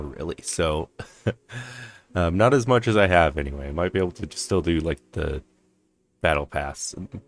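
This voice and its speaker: A man giving casual playthrough commentary as a game streamer, rambling along in a hushed, hesitant way. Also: deep voice.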